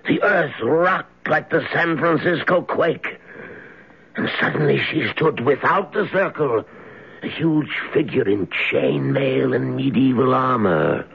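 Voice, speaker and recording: A man acting a stern, grave master of the occult in an old-time radio drama, speaking deliberately and theatrically. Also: medium pitch, sing-song, creaky, breathy edge, full character voice. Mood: grave, scolding. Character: stern male occult master lecturing his wayward disciple. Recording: muffled old radio broadcast recording.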